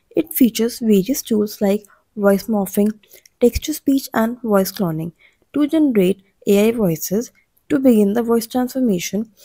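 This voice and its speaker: A woman reads a scripted explainer as a channel narrator, in a measured way that sets out lists item by item. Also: on the high side, mellow, slightly rough, no echo.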